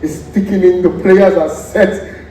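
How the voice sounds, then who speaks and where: A man's voice: sits high, steady tone, measured pace, dark tone, a little hiss or hum. A man playing a deferential yet scheming servant in a stage drama, with exclamatory, theatrical delivery. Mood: sly, plotting. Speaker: student stage actor. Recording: echoey theatre from far off, music.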